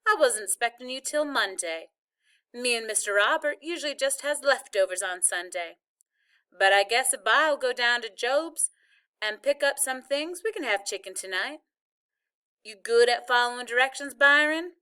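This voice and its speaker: A high, bright old Southern grandmother, played by a woman narrator. She is feisty and loving, with an emphatic, folksy delivery suited to a storybook. Her voice rings out clear. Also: expressive, normal speed. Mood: brisk, welcoming. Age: older. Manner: folksy, emphatic, scolding yet fond.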